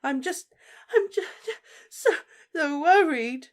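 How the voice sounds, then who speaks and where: A woman voicing a distraught middle-aged motherly witch who sobs and stammers in a high, breaking voice. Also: lively intonation, slow. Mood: sobbing. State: crying.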